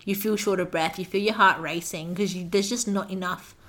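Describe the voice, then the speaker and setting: A woman's voice: lowish voice, fairly even intonation, brisk, fairly bright tone. A young adult woman hosting a podcast, telling an anecdote in a casual, chatty way with self-deprecating humour. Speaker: podcast host.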